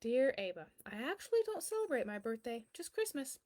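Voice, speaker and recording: A woman's voice: a little high, very animated, fairly quick, a little mellow. A woman voicing a kindly, very old man who answers a child's letters in a storybook, reassuring and explanatory. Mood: cheerful, gentle. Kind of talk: children's storybook read-aloud.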